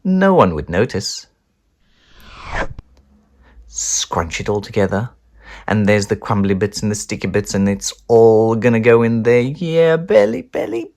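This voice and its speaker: A man reads a children's story aloud with theatrical flair. His pitch swings widely, yet he takes his time over each phrase. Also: expressive, a little slow, pauses often, a little mellow. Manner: theatrical, with whispers and chanted sound words. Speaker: storybook reader.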